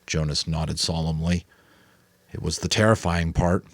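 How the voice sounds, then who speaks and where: A man reading a story aloud in a dramatic, expressive narrating style. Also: extremely low pitch, a little slow, dry recording. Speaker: audiobook reader. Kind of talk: dramatic narration with occasional casual asides.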